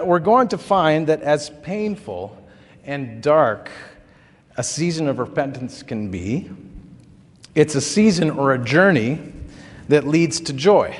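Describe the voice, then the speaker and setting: Hoarse-throated pastor preaching in a reverberant hall. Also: male voice, medium pitch. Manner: conversational, folksy storytelling with filler words. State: recovering throat, slightly hoarse. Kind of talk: sermon.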